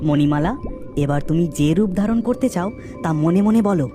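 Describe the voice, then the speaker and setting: A woman's voice: fairly low pitch, animated, fairly quick, natural voice. A woman voicing a brave young prince in a cartoon, speaking in an earnest, urging way. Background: background music.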